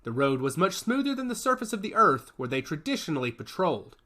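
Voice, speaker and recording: A man narrating a serialized story with expressive delivery. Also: fairly high pitch, quick pace. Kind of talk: audiobook narration.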